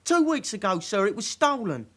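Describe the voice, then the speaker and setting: A man's voice: high voice, sing-song, normal speed, fairly bright tone, heavily acted. A man playing a baby-faced young suspect in a radio drama, polite, meek and evasive in short defensive answers. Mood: nervous, evasive.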